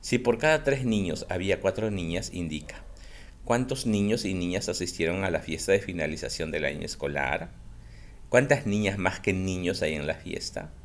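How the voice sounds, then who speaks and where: Deep-voiced patient male teacher. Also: a little hiss or hum. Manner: slow, patient explanations, asks and answers his own questions. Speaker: school teacher. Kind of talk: recorded math lesson for children.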